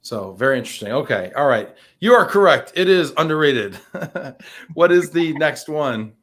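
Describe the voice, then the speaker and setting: A man's voice: fairly high pitch, animated, normal speed, a bit bright. A man hosting a live-streamed interview, playful and teasing, reading out viewer comments with frequent restarts. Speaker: show host.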